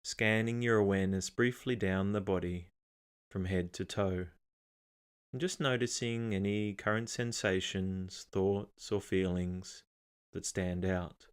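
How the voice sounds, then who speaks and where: A man narrating a guided meditation in a calm, measured, unhurried way, with pauses between instructions. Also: low voice, clear, resonant tone.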